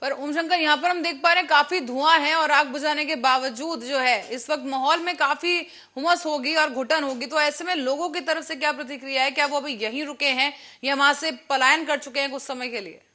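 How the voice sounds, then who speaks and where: A woman's voice: sits high, a little fast, few pauses, pushed and bright. A woman presenting the news as an anchor. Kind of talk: news presenting, scripted narration and live questions to a reporter.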